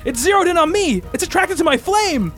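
A man acts out a young comic-book superhero in a radio play. The boy's voice is strikingly high and anxious, delivered in melodramatic exclamations. Soft music plays underneath. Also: big pitch swings, fairly quick, exaggerated voice. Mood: panicked. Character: frightened young superhero boy, hot-headed and anguished.

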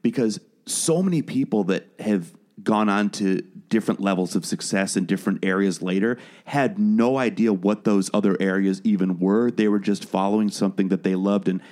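A man's voice: on the low side, normal speed, hardly any pauses, warm tone. A man born in Wales, speaking with no accent, chatting as a guest about his music and radio career, often restarting and repeating words. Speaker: guest, musician and podcast producer. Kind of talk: casual interview conversation.

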